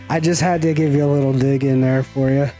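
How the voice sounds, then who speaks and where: A man's voice: medium pitch, warm tone. A middle-aged man chatting casually with viewers as he streams a game, folksy and teasing. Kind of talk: casual live-stream chat while gaming.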